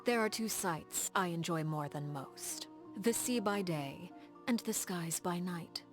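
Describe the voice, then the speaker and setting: A woman playing a coolly elegant, formal leader of agents in a video game, speaking in a composed, measured and refined way. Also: on the low side, over music, lightly acted. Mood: calm, reflective. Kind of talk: scripted video game character dialogue.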